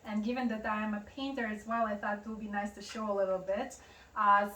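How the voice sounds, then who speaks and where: A woman's voice: medium pitch, a bit bright. Woman, Russian native speaker, chatty with fillers, echoey room, distant mic, faint hiss. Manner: chatty, explaining with many fillers. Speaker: interview guest, poet and artist. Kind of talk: casual interview. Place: home interior.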